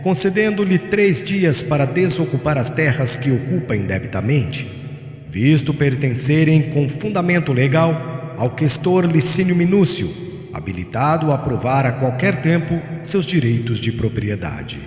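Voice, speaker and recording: Roman imperial officer, played by a man: stern, unemotional, formal, haughty, audiobook drama over soft music. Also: a little high, big pitch swings, unhurried, roomy sound, big-room sound.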